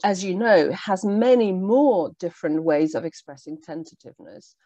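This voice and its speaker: Polish lady presenting to teachers, lively up-and-down voice, lots of ums, explains slowly. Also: on the low side, no echo, highs cut off. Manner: measured, explanatory, with pauses and fillers. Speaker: teacher trainer.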